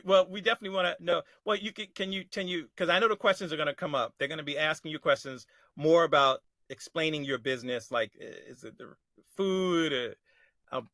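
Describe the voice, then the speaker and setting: A man chairing a public board meeting on a video call, with a bright, slightly high voice and a dry sound. He is polite and formal, calls people sir, and often stops to restart his sentences. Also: no echo. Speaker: committee chair.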